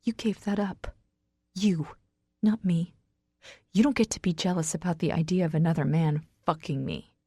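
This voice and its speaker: A woman's voice: on the low side, fairly even intonation, moderate pace, dark tone, breathy edge, dry recording, studio quality. Woman acting a raw, heartbroken, fiery woman. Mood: wounded. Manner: raw, emotional. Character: heartbroken, defiant, fiery woman confronting her ex. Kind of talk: audiobook character acting.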